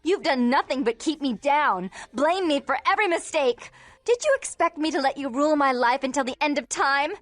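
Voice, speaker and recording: An actress playing a wild, seductive, spiteful vampire sister in a video game, taunting in a theatrical sing-song way. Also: female voice, sits high, expressive, moderate pace, no echo. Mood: resentful. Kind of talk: dramatic video game voice acting.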